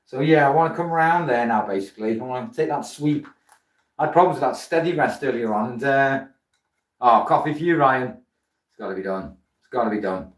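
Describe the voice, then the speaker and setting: A man's voice: medium pitch, fairly quick, recorded from a distance. Chatty South Welsh man in a roomy workshop. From Wales. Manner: chatty, friendly, greets viewers by name, many fillers. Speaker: woodturning livestream host. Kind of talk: casual live chat while working. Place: workshop with a running lathe.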